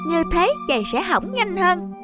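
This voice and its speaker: An actor voicing a young schoolboy in a cartoon dub, scheming and petulant, with expressive delivery. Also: very high voice, moderate pace. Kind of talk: cartoon dubbing. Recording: music behind the voice.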